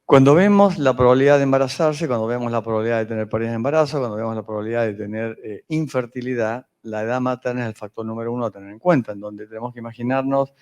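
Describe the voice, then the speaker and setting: A man from northern Argentina with a northern Argentine Spanish accent, giving a medical lecture on fertility, pausing often with fillers and restarts. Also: medium pitch, darkish tone, clear, resonant tone. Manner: hesitant, many fillers and restarts.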